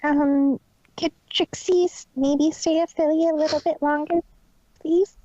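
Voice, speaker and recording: A man putting on a high-pitched little girl cartoon pony. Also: steady tone, a little slow, mellow, highs cut off. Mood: pleading, hesitant. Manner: speaks of herself in third person, hesitant.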